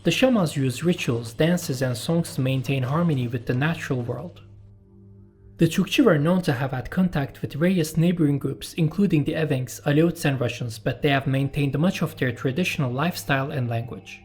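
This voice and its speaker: Man narrating, mellow voice. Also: fairly high pitch, husky, soft background music. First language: Turkish. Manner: measured, explanatory, with personal asides. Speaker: channel host and narrator. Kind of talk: scripted documentary narration.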